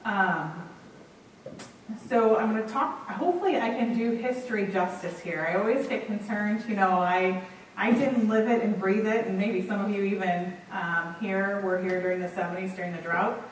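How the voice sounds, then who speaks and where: A woman giving a public talk as guest speaker, relaxed and conversational. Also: mid-range voice, normal speed, a little hiss or hum. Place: auditorium. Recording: echoey hall, distant mic.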